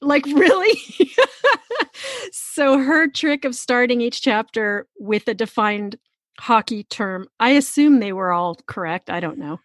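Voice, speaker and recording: High-pitched woman hosting a podcast. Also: expressive, measured pace, a bit bright, no room sound, clean studio sound. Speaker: podcast host and interviewer. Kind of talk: podcast intro and book chat.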